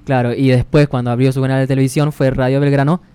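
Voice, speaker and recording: A man's voice: medium pitch, little pitch movement, quick pace, mellow, clean tone. A man with an Argentine accent, explaining things conversationally as a podcast co-host.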